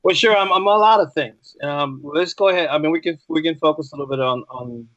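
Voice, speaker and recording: A man's voice: on the high side, a little fast, fairly bright tone, no room sound, lo-fi sound. A man talking as an interview guest, explaining things conversationally and in anecdotes. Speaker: podcast guest, ESL teacher. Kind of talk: casual interview chat.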